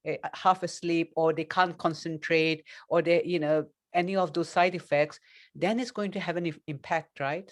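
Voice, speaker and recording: A woman speaking as a guest expert in an interview, explaining conversationally and earnestly, often voicing imagined remarks as examples. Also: low-pitched. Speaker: guest doctor, mental health educator.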